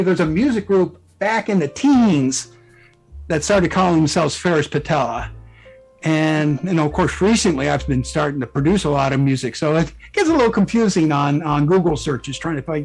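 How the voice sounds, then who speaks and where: Higher-pitched man in halting casual conversation. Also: animated, poor audio. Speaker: interview guest, fan fiction author and musician. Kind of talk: casual interview chat. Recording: music playing behind the voice. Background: music.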